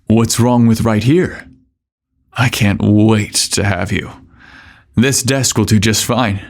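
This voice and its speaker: A man voicing a confident, tender office hunk in love in an audio romance story, seductive and deliberate. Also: on the low side, steady tone, vocal fry.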